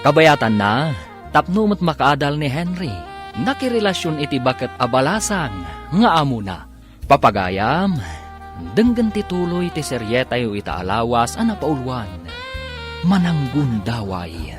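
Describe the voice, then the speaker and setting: A man's voice: medium pitch, big pitch swings, unhurried. A man with a Filipino accent narrating and announcing a radio drama in a dramatic scripted style. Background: music.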